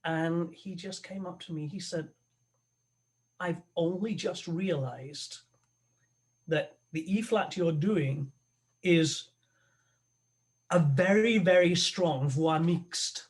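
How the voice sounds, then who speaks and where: Thoughtful man on a video call. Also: fairly high pitch. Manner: thoughtful, halting, many restarts. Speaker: guest opera tenor. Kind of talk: conversational interview over a video call.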